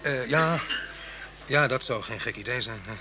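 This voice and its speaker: An actor playing a reserved, polite male passenger in a radio play, speaking in a halting, awkward way. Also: mid-range voice, noisy background, close to an everyday voice. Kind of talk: Dutch radio play acting.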